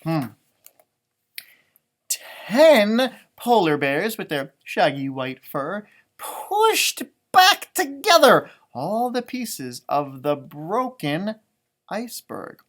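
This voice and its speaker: A man reads a storybook aloud for children. His pitch moves around freely and expressively. He draws out the written words, then slips into casual, exclaiming commentary on the pictures. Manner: animated, exclaiming, drawn-out words when reading. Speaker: storybook reader, elementary music teacher. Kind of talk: children's picture book read-aloud with chatty asides.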